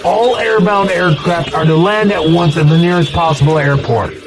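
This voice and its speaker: A man's voice: on the high side, normal speed, over a noisy crowd, close to an everyday voice. A man playing a firm air traffic controller in an audio drama, making emphatic procedural announcements. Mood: urgent, commanding. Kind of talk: audio drama radio acting. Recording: busy crowded room behind.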